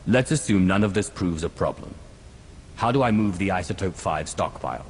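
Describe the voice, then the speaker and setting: An actor playing a composed, solemn, commanding lord of an evil galactic empire in a video game cutscene. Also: male voice, deep voice, lively intonation, normal speed, a little hiss or hum. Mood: businesslike.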